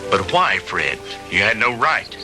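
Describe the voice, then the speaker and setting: A man's voice: on the low side, big pitch swings, a little slow, over music. An actor playing an earnest man in trouble, in a 1960s B-movie style. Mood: concerned. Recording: old 1960s film soundtrack.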